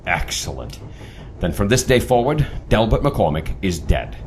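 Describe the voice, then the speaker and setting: Deep voiced older boss guy acted by a man, measured and in charge, very animated pitch, audio drama. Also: a little hiss or hum, full character voice. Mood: grave, persuasive. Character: calm, commanding older male leader of secret team.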